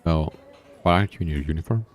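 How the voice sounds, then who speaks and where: Male streamer, low register, narrating story text with informal side remarks over background music. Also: fast talker. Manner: informal, reacting to the story with asides. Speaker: streamer reading a visual novel aloud. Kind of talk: reading aloud with casual side comments.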